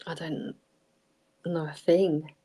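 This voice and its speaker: A woman chatting casually on a livestream, murmuring short, quiet replies and swearing now and then. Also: low-pitched, level tone, slow, husky, rough recording. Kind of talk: casual chat.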